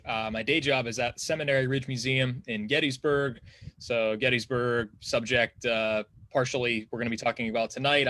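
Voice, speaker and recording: Bright, crisp-edged man in a dry room. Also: mid-range voice, dry recording. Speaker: history podcaster and contributor, panelist. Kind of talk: informal self-introduction on live stream.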